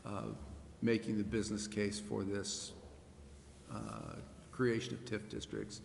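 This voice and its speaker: A man answering a question at a public meeting in a measured, hesitant way. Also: fairly low pitch, very animated, vocal fry, darkish tone. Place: indoor council chamber. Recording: echoey chamber with background noise.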